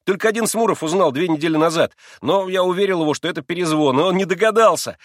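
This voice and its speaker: A man's voice: medium pitch, lively intonation, normal speed, a bit bright, no echo, clean studio sound. A man voicing a proud, eager teenage boy in an audiobook, exclaiming and explaining in a bossy showman's way. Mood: excited, showing off. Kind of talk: acted audiobook dialogue.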